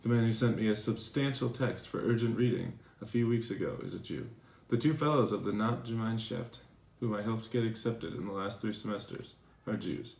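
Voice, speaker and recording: A man playing a defensive, aggrieved professor in a dramatized letter reading, speaking in a formal, measured way. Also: fairly low pitch, gravelly, darkish tone. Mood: indignant, defensive. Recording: roomy, echoing recording.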